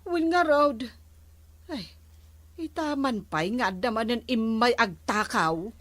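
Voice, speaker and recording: Actress as fairly high-pitched nagging village wife. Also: female voice, expressive, slow, fairly bright tone, no echo. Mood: complaining. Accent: Filipino, Ilocano. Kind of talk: amateur barangay comedy skit acting.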